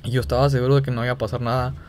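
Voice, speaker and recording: A young man with a Mexican accent talking casually and haltingly on a phone call, sounding embarrassed. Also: mid-range voice, little pitch movement, a little mellow, a little hiss or hum. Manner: hesitant, halting, feigning embarrassment. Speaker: gaming video creator making a prank call. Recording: music playing behind the voice. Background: music.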